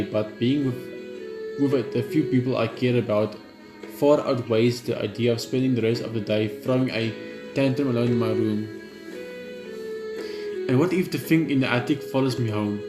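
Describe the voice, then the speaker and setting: Man reading a visual novel aloud. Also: mid-range voice. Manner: steady first-person reading with frequent stumbles and restarts. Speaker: gaming channel host. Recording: roomy room with loud game music.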